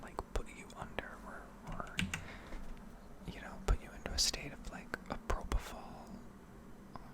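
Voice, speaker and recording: A woman whispering an unscripted ASMR ramble in a reflective, hesitant way with trailing pauses. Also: poor audio. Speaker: ASMR video creator rambling over gameplay.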